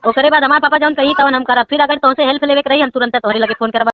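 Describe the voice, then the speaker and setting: A woman's voice: high voice, steady tone, racing, no room sound. A woman with a Bhojpuri accent recounting personal grievances as a caller in a recorded phone conversation. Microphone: phone call. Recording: muffled, noisy recorded phone call.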